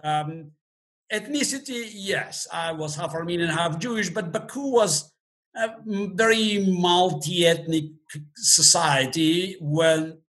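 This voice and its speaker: A man is a guest in a casual interview. His voice sits a bit high, and he keeps stopping, restarting and filling gaps with little sounds. A dry humor runs under his answers. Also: a bit bright. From Azerbaijan. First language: Russian. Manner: halting, many fillers and restarts, dry humor.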